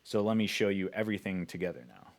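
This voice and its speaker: Deep male voice, music producer, casual walkthrough, informal explaining. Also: expressive, fast. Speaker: music producer presenting a tutorial.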